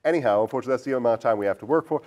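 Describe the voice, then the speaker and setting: A man hosting a casual film discussion, asking probing questions in a rambling, animated way. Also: medium pitch, rapid-fire, muffled recording. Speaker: podcast host.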